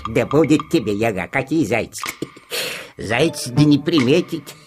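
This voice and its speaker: A woman voicing a gruff, grumbly old male forest goblin with rustic, muttering, slow-witted speech in a musical audio play. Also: very deep voice, lively intonation, a little mellow, faint music behind. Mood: dismissive.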